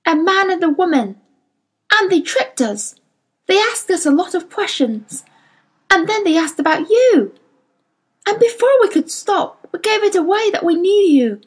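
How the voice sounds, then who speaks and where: A woman's voice: very high voice, expressive, measured pace, pitched above the performer's own voice, poor audio. A woman voicing a small shape-changing animal spirit in an audiobook, anxious and guilty, confessing in short plain sentences. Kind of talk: dramatized audiobook character voice.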